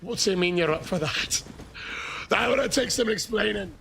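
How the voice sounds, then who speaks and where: An Irish man streaming a video game live, chatting with viewers and thanking them. He is hoarse from losing his voice and gets so excited that he breaks into shouts. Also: sits high, moderate pace, a little hiss or hum. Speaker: gaming livestreamer. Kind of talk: casual live-stream chat.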